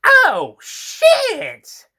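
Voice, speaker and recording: A man voicing a cocky, hungry alien flytrap monster in an audio story, loud and demanding. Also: very high voice, wildly swooping pitch, extremely slow, creaky, pitched above the performer's own voice, exaggerated voice. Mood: pained, alarmed.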